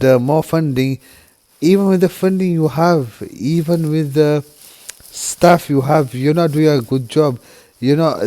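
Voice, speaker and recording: A man from the United Kingdom speaking straight to camera in a halting, subdued way, full of restarts and "you know" fillers. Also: fairly high pitch. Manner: subdued and quiet, grieving. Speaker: vlogger. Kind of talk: emotional personal monologue.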